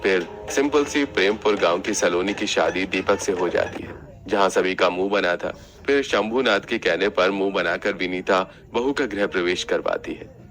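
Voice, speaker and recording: A man's voice: low-pitched, normal speed, fairly bright tone, soft background music. A man reading scripted narration for a cartoon family story.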